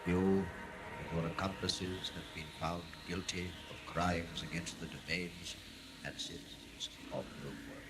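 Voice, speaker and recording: Man as a deep, portentous, stern judge. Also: level tone, a little slow, noisy background. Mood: stern, grave. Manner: solemn, portentous. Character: stern, grave male judge pronouncing doom. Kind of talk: sci-fi audio drama acting. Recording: second-generation tape copy under music.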